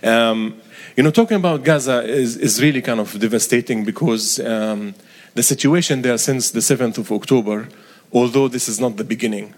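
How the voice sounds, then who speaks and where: Middle-aged man with a Palestinian Arabic accent. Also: on the low side. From Galilee, Israel. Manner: halting, careful, earnest phrasing. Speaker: bishop, interview guest. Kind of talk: reflective interview answers.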